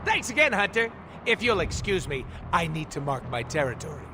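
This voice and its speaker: An actor playing a cocky, irreverent mercenary antihero in a video game, with a jokey, sarcastic, theatrical delivery. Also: male voice, fairly high pitch, sing-song, a little fast, noisy background. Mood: cocky, joking. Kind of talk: video game cutscene acting.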